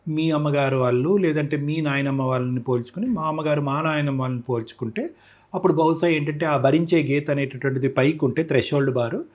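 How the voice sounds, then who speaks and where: A man with a Telugu accent and a husky voice, explaining his points emphatically as an expert guest in a conversational interview. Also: fairly high pitch, quick pace, darkish tone. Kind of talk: conversational interview commentary mixing Telugu and English.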